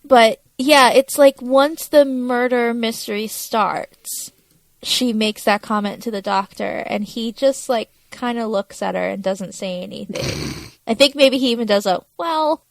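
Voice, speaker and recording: A woman's voice: a little high, measured pace, gravelly, dry recording. A woman co-hosting a podcast, chatting informally as she recaps television. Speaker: podcast co-host. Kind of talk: casual TV recap chat.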